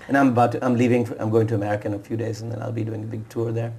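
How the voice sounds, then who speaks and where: A man's voice: lowish voice, little pitch movement, darkish tone. A man being interviewed as an author on a TV talk show, speaking in a hesitant way with frequent restarts. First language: Urdu and Punjabi speaker. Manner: fast, hesitant, with many restarts. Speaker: author guest. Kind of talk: TV interview conversation.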